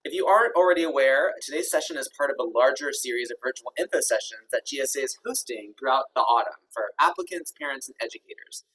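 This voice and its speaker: Husky man hosting a video-call session. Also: mid-range voice, animated, quick pace, hardly any pauses, fairly bright tone, far from the mic, poor audio. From Kentucky. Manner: upbeat, friendly, with many ums and uhs. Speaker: executive director hosting an info session. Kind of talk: virtual info session presentation.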